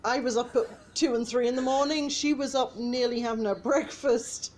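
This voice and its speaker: A woman's voice: on the high side, steady tone, moderate pace. A woman chatting casually as a guest cast member in a video-call interview. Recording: faint background noise on video call.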